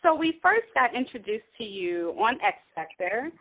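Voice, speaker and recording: A woman's voice: fairly high pitch, big pitch swings, moderate pace, husky. A woman hosting a magazine interview, asking short upbeat questions. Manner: upbeat, brief questions and backchannels. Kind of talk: phone interview. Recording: tinny phone line.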